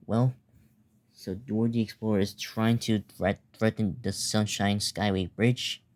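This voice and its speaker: Casting: young male narrator for mock emergency alert, low mellow voice, stumbling delivery. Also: steady tone. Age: teenager or young adult. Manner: halting, stumbling, repeats words. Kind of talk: improvised mock emergency announcement.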